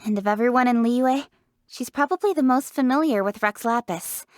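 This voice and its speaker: A woman's voice: on the high side, moderate pace, dry recording, studio quality, lightly acted. A young woman voicing a diligent, proud young city official in a video game, speaking in an articulate, assertive, matter-of-fact way. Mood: reflective, candid. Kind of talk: scripted video game character voice lines.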